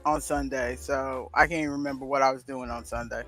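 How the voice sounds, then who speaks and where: A man's voice: mid-range voice, steady tone, fairly quick, a bit bright, soft background music. A man co-hosting a livestream in casual, conversational chat. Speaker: livestream co-host.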